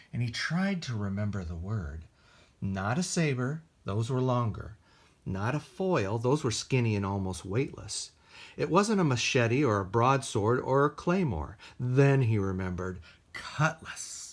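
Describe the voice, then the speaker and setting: Slow-paced American man reading to children. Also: medium pitch. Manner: expressive, deliberate, speaks simply to children. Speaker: library storytime reader. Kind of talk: children's storytime read-aloud with casual asides.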